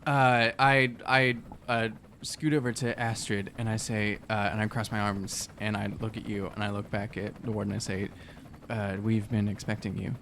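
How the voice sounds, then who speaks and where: A man playing a tabletop role-playing game with casual, joking, improvised banter in halting phrases. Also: lowish voice, little pitch movement, background noise.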